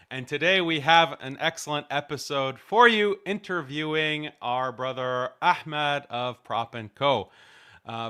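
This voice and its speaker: A male podcast host with a bright, ringing tone and a smooth, clear voice. He speaks in measured, deliberate phrases, sprinkling in religious greetings as he introduces his guest and steers the conversation. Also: mid-range voice. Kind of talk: podcast interview over video call.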